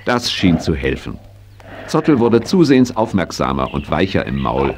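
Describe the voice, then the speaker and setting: An actor narrating a children's horse story in a 1980s audio drama, telling it expressively. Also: male voice, deep voice, sing-song, normal speed, slight background noise. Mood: storytelling.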